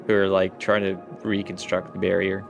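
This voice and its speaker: Low-voiced man running a tabletop game. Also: monotone, moderate pace, creaky, muffled recording, soft background music. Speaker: game master. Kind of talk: improvised tabletop game narration.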